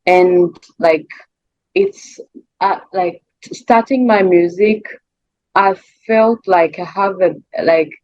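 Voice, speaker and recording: Rwandan woman chatting in an interview, lowish voice, halting, repeats herself, dry muffled recording. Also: a little mellow, no room sound. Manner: halting, searching for words, repeats phrases. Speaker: singer being interviewed. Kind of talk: conversational interview answers.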